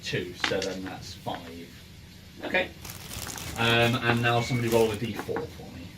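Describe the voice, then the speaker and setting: A man's voice: lowish voice, fairly even intonation, moderate pace. A man running a tabletop game as game master, chatting casually and narrating to the players. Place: room, in-person game session. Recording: roomy, distant mic, clear background noise.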